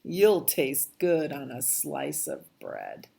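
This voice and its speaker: A woman voicing a big, gullible male storybook monster with plain, measured delivery. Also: extremely low pitch, huge pitch swings, gravelly, warm tone, breathy edge, full character voice. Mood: hungry.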